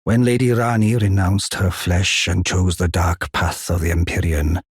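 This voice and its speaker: A man playing a huge, courteous old giant blacksmith in a fantasy video game, with a deep, husky, weathered voice and measured, old-fashioned phrasing. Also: steady tone, no echo, studio quality. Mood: thoughtful, measured. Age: older.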